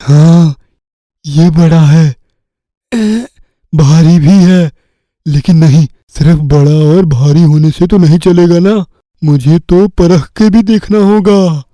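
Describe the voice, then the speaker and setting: A man's voice: a little high, dark tone, no room sound, studio quality. A man voicing a gullible, simple-minded eighteen-year-old village lad, naive and earnest, in a Hindi cartoon story. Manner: naive, slow-witted, earnest. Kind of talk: Hindi cartoon fairy-tale dubbing.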